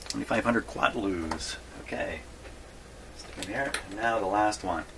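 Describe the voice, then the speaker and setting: A man giving a casual hands-on workshop demonstration, thinking aloud in a halting mutter and repeating his words. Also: on the low side. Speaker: hobbyist radio repairer presenting his workshop video. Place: radio repair workshop. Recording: clearly noisy workshop recording.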